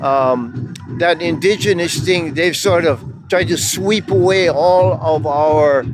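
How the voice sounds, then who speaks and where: A man's voice: fairly high pitch, slow pace. Older man speaking over music. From Hawaii.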